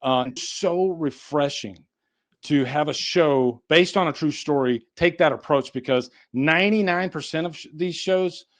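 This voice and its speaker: A man's voice: on the high side, measured pace. A man hosting a casual podcast discussion in a conversational, rambling way, swearing casually. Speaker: podcast host.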